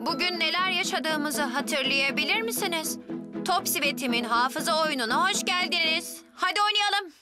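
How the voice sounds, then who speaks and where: A proud little boy twin in a children's TV dub. The voice is very high and childlike, explaining things earnestly and eagerly, with music playing behind. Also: lively intonation, normal speed. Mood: cheerful. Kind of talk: Turkish dub of children's live-action show.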